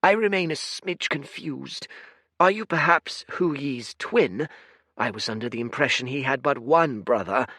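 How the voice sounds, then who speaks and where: Storybook old man, humble and formal, talks up high with courtly politeness, voiced by a male narrator. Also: animated, unhurried, pushed and bright, no echo, clean studio sound, heavily acted. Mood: courteous.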